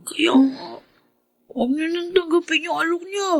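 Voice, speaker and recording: A woman's voice: extremely high pitch, measured pace, no room sound. A woman playing an earnest, worried child in a Filipino radio drama, speaking in a hesitant, pleading way. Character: earnest, worried young child Benji, loyal to mother.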